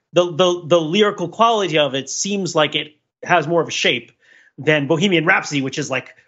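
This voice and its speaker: A man's voice: on the high side, sharp tone, dull audio. A man talking casually as a podcast panelist, rambling and often interrupting himself as he searches for words. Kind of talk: casual discussion.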